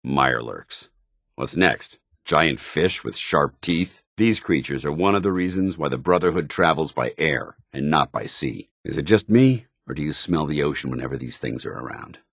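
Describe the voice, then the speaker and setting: An actor playing a stern, zealous soldier companion in a video game, with an American accent and a formal, earnest military delivery. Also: male voice, rumbling low, expressive, normal speed, gravelly, fairly bright tone, no room sound, clean studio sound, heavily acted. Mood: disgusted.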